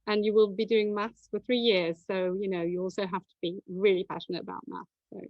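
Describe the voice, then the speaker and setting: A woman's voice: mid-range voice, level tone, a little fast, no echo, dull audio. A woman hosting an admissions webinar over a video call, presenting slides in a conversational way with many fillers and restarts.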